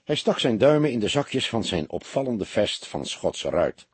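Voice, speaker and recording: A man reading an audiobook as narrator, in an expressive scripted style. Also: on the low side, moderate pace, husky, dry recording.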